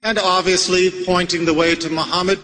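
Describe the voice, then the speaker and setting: A man raised in America giving an Islamic lecture to a live audience, measured and rhetorical, putting questions to the listeners. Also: high voice, flat delivery, pushed and bright. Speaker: Islamic preacher. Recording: long hall reverb.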